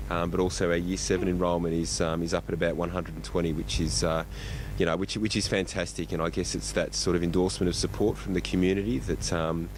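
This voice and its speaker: A man with an Australian accent and a notably deep voice answers questions as a school principal. He rambles hesitantly, padding his thoughts with fillers. The recording is made outdoors with clear ambient noise around him. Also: poor audio. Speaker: school principal being interviewed. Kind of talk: informal on-location interview. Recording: outdoor location, loud traffic-like ambient noise.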